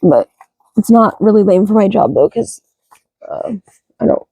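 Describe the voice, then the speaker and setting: Woman with a deep, dark voice and a dry, close sound, reading cards in loose, sweary stream-of-thought chat. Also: animated, a little slow, slightly rough, no echo, low-quality recording. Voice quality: low voice. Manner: loose, profane, thinks aloud. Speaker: card reader. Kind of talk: casual rambling spiritual chat.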